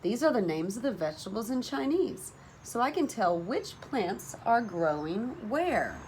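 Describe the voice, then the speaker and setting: Woman playing storybook mother, patient and matter-of-fact, calm explanatory delivery, noisy recording. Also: on the high side, a little slow. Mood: patient, assured. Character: patient, practical mother of a young child. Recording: noticeable background noise.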